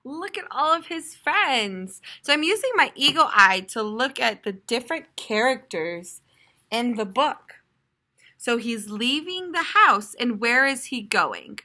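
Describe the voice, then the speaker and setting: An American woman in her twenties, a teacher reading aloud to young children in an expressive, explanatory way. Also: on the high side, a little slow. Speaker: primary school teacher. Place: classroom, indoors.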